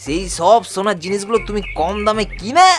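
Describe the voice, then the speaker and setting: A man's voice: sits high, brisk, music in the background, close to an everyday voice. A man playing a scheming, jumpy cartoon sidekick with an eager, wheedling manner. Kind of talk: children's cartoon voice acting.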